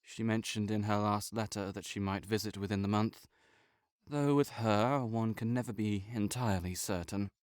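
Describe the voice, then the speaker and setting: A man playing a sickly, proud and melancholy nobleman in an intimate audio roleplay, speaking formally with measured, old-fashioned phrasing. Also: fairly low pitch, fairly even intonation, dry recording, studio quality, lightly acted. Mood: frail, politely proud.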